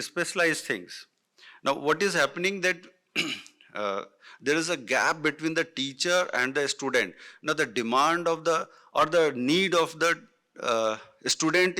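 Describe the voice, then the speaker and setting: Slow, halting Indian English man. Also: fairly high pitch, bright, forceful tone. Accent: Indian English, Hindi-influenced. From north India. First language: Hindi. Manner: halting, many fillers and restarts. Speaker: conference panel speaker. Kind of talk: unscripted panel remarks.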